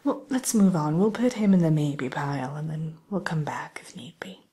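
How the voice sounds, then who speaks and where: A woman softly whispering an ASMR roleplay as a polite, hesitant matchmaker who soothes and defers to her client. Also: deep voice, moderate pace. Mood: hushed, tactful. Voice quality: breathy, mostly whispered. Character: polite, accommodating female matchmaker at a dating service for villains.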